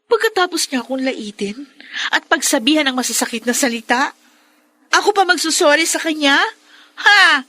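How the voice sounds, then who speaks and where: Bratty young filipino daughter in radio soap, sassy, high bright piercing voice. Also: female voice, lively intonation, moderate pace. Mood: defiant. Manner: indignant, sassy. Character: defiant, proud, sharp-tongued young daughter. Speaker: radio drama actor. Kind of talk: Tagalog radio drama acting.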